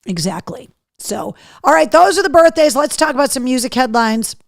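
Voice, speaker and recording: Female livestream host, middle-aged, somewhat high voice with a slight hoarseness, candid and cheeky chat, close dry sound. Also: lively intonation, moderate pace, no room sound. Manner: candid, cheeky, mildly sweary. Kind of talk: casual live chat to viewers, reading out comments.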